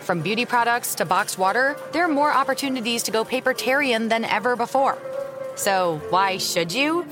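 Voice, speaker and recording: Woman doing an ad read, higher voice, music behind. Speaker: commercial announcer. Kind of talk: scripted ad read.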